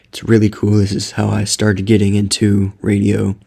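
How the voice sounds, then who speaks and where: A man giving a casual, informal product demonstration as a video host, speaking in a somewhat hesitant, halting way. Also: lowish voice, completely flat delivery, creaky, warm tone, slightly rough. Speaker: video host, radio hobbyist.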